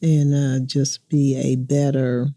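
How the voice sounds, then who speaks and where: A woman in her sixties chats casually and reflectively as an interview guest. Her voice is deeply mellow and relaxed, with plenty of creak. The sound is dry and close. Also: slow, dry recording. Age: 60s.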